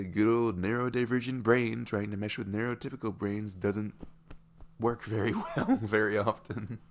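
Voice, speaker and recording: A man acts out a smitten young boyfriend in an intimate ASMR monologue. His voice is very low with a heavy fry at the edges. He muses in halting, vulnerable phrases that often soften to near-whispers. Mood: wry, self-deprecating. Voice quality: low, creaky voice, often dropping toward a whisper. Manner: halting, musing, frequent "you know" and soft chuckles. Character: smitten, vulnerable young neurodivergent boyfriend meeting online love. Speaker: ASMR audio roleplay performer.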